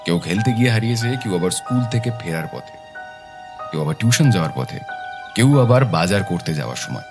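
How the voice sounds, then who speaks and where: A man acts a determined, methodical detective in an audio drama. His voice is low and his delivery is serious and deliberate. Music is clearly heard behind him. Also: big pitch swings. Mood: grave.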